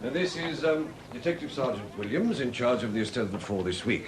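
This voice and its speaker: A man's voice: medium pitch, lively intonation, a little fast, crowd noise, lightly acted. A man acting a harried, frank and courteous chief constable in a 1970s radio drama. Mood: grave, businesslike. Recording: noisy 1970s radio broadcast recording.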